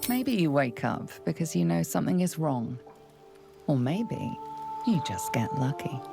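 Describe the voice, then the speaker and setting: A woman acting as the measured, ominous storyteller who narrates a fantasy video game in scripted style with a creaky voice. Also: deep voice, sing-song, full character voice. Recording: music behind the voice.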